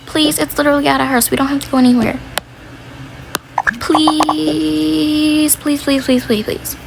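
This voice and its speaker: A woman puts on a pleading young daughter for a homemade role play. The voice jumps high, whiny and insistent, stretching words out. The recording has clear background noise. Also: steady tone. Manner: whining, drawn-out pleading. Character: whiny, pleading kid daughter, excitable and stubborn. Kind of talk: amateur family role play. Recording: noisy home recording.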